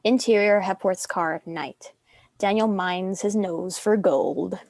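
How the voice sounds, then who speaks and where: A woman's voice: mid-range voice, takes it slowly, creaky, a little mellow. A woman delivering scripted narration, reading stage directions.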